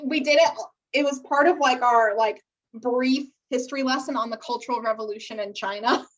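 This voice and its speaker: A young American woman with a clearly high voice co-hosts a podcast. She chats casually, joking in a wry, informal way and swearing freely. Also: a little fast, low-quality recording. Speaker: podcast co-host. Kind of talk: casual chat.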